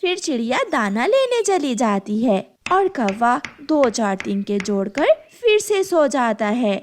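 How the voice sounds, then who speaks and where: A woman's voice: fairly high pitch, big pitch swings, unhurried, slight background noise. A woman narrating a scripted children's story as its storyteller.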